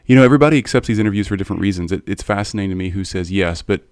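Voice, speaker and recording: A man's voice: low voice, rapid-fire, darkish tone, clean tone, dry recording. A man hosting an interview, asking long, meandering questions with frequent hesitations. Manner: meandering, hesitant, many fillers and restarts. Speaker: podcast host.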